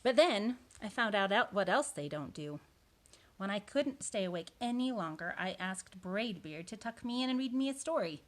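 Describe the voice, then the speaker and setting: A woman reading a storybook aloud to preschoolers, expressive, with chatty little asides to her young listeners. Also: mid-range voice, normal speed, a little hiss or hum.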